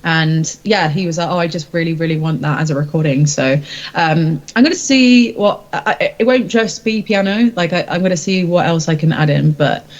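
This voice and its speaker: A woman's voice: sits low, moderate pace, lo-fi sound. A woman, a singer-songwriter, chatting casually and informally in an interview in a rambling way.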